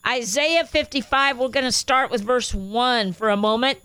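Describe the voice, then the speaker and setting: A woman's voice: fairly high pitch, normal speed, bright, forceful tone, clear, resonant tone, dry recording. Enthusiastic woman with a slight Spanish accent. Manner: enthusiastic, reads scripture aloud with emphasis. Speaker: radio show co-host.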